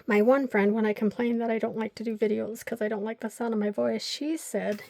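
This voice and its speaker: A woman's voice: a little high, fairly even intonation, brisk, gravelly, a little mellow, dry recording. An older woman hosting a crafting vlog, chatting and rambling through stories while she glues paper pieces. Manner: talks to herself while gluing. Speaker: crafting channel host.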